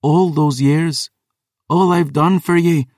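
A man voicing a vengeful vampire woman who pleads in high, drawn-out tones, in an audiobook. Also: fairly even intonation, a little mellow, no echo, studio quality. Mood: pleading, hurt. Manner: high, drawn-out, hissing pleas. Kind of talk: audiobook character voice.

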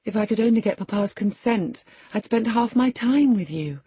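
A woman's voice: on the high side, expressive, normal speed, dark tone, slightly rough, pitched above the performer's own voice. A woman voicing a spirited, outspoken young girl in an audiobook story, earnest and impulsive. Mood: affectionate, earnest.

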